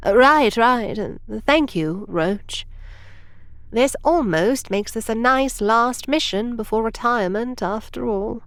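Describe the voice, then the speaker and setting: A woman's voice: on the high side, takes it slowly, fairly bright tone, no room sound. A woman playing a prim, rule-following time traveler near retirement who gets irritated easily, in an audio drama. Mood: awkward. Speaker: senior time travel agent.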